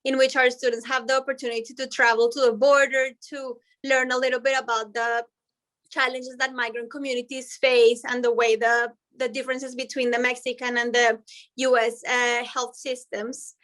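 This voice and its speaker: A woman presents her language program over a video call. Her voice sits high and sounds bright and clear. She explains things in long, eager sentences. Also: fairly even intonation, moderate pace. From Colombia. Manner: upbeat and explanatory, speaks in long flowing sentences. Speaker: language professor. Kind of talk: presenting in an online panel.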